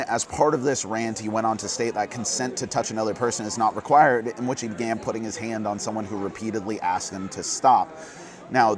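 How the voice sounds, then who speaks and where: A hoarse man hosting a casual board game news roundup, earnest and quick, getting fired up at times. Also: on the low side. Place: busy convention hall.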